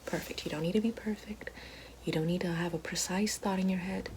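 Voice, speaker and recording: A woman playing a vain, bitter horned evil fairy sorceress in a whispered and soft-spoken ASMR roleplay, with precise, coaxing delivery. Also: fairly low pitch, steady tone, fairly quick, lightly acted, low-quality recording. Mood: soothing, coaxing. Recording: soft whispering over loud background noise.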